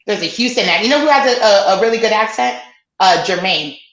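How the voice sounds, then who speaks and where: A woman's voice: medium pitch, expressive, quick pace, fairly bright tone, dull audio. A woman co-hosting a casual sports podcast, chatting and plugging events with loose asides. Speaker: podcast co-host. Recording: roomy echoey sound.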